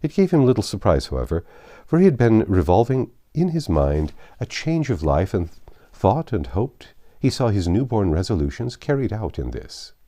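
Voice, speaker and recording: Deep, expressive male storyteller. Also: no room sound. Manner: measured, dramatic, deliberate phrasing. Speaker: narrator. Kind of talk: literary storybook narration.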